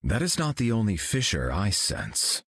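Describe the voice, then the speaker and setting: Solemn cosmic hero for a game, super low voice, husky, rises and falls a lot. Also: male voice, no echo, clean studio sound, exaggerated voice. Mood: serene. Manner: calm, measured, grave. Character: serene cosmic golden male hero, solemn. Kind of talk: video game voice lines.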